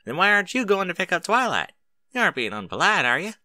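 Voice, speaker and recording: A man's voice: high-pitched, very animated, quick pace, bright, forceful tone, no echo, voice pushed up, heavily acted. A man voicing a little filly, a pestering kid sister with a whiny, childlike manner, in an audiobook story. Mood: complaining, nosy.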